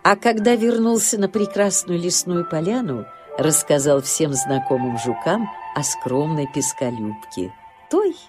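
A woman's voice: sits low, animated, a little slow, over music. A woman narrating a children's storybook tale expressively.

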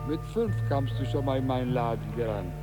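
An actor playing an older neighbourhood shopkeeper in a radio drama, familiar, wry and obliging. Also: male voice, mid-range voice, a little fast, over loud music, close to an everyday voice. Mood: teasing, nostalgic.

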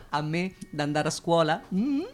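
A woman's voice: lowish voice, big pitch swings, slow pace. An actress playing a vivacious, affected and flighty young woman in a radio drama, chattering. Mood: chatty, carefree. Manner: vivacious, affected, hops between subjects. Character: vivacious, flighty, artificially bubbly young Roman lower-middle-class woman. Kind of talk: theatrical radio drama monologue as interview.

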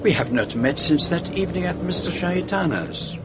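Over clear vehicle noise comes a courteous, reflective detective played by a man with a French-speaking Belgian accent. He phrases things formally and deliberately, yet his pitch moves in a lively way. It is a radio drama performance. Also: natural voice. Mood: courteous, probing. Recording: noisy vehicle ambience behind dialogue.

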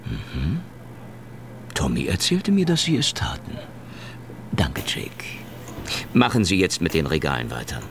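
A petty, controlling store manager in a radio drama, with a deep, gravelly voice that swings widely in pitch. He gives orders in a clipped, patronizing way. A noisy background hums under the recording. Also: wildly swooping pitch, measured pace, long pauses, heavily acted. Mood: officious. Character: officious male store manager, middle-aged, petty. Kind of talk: German radio drama acting.

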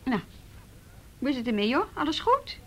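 Female team leader, high voice, brisk, practical, conversational radio drama delivery. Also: sing-song, a bit bright, a little hiss or hum. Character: businesslike female team leader at a welfare office. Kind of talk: naturalistic radio drama acting.

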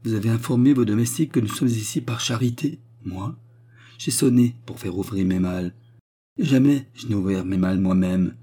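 A man's voice: fairly low pitch, moderate pace, warm tone, slightly rough. A man voicing a proud, distraught noblewoman, a widow who complains in haughty, tearful exclamations, as in an audiobook. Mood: aggrieved, tearful.

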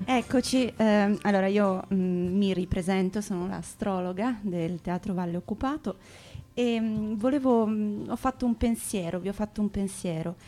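A woman's voice: mid-range voice, moderate pace, dark tone, soft background music, natural voice. A woman playing a theatre's resident astrologer on radio, hesitantly and earnestly giving listeners cosmic love advice. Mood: mock-serious, instructive.

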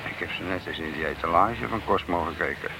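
A man's voice: sits low, fairly quick. A man playing an easygoing, evasive policeman and husband, hesitant and placating, in a Dutch radio drama. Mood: hushed anticipation. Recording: hissy old radio play recording.

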